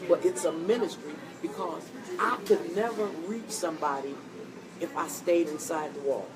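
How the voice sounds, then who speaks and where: A woman hosting an interview in a coffee shop, animated and preachy, encouraging her guest. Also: low-pitched, normal speed, over music. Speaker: host. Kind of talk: interview chat. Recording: distant mic in busy cafe. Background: cafe crowd chatter.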